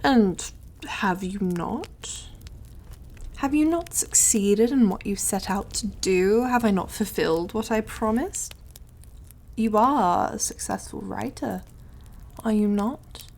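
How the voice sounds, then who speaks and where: A woman's voice: mid-range voice, animated, takes it slowly, gravelly, a little mellow, heavily acted. A woman voicing a suave, condescending devil in an ASMR roleplay, drawling and over-polite in a theatrical, taunting way. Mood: smug, taunting. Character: suave, mocking, condescending devil who deals in soul contracts.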